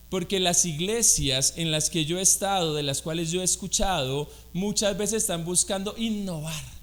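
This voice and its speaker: A man's voice: high voice, a bit bright. A Colombian man with a Colombian accent preaching a conversational sermon in church, often asking the congregation questions. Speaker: guest preacher.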